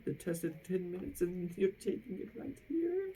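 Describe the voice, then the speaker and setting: A woman's voice: fairly low pitch, big pitch swings, normal speed, dark tone, slight background noise, pitched above the performer's own voice. Woman acting out a fretful, sad school principal, swooping dramatically. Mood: mournful, anxious. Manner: dramatic, anxious proclaiming. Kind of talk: storybook character voice.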